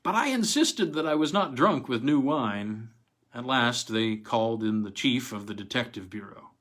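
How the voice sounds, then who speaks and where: Male narrator for old weird fiction: medium-pitched, scripted, dramatic storytelling delivery. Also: animated, normal speed, a bit bright. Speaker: story reader.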